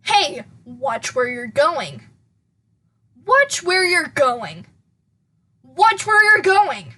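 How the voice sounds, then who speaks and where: Woman playing fierce, high-voiced dragon warrior. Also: sing-song, extremely slow, heavily acted. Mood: threatening, scornful. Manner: forceful, scornful. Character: hot-tempered, threatening female dragon warrior.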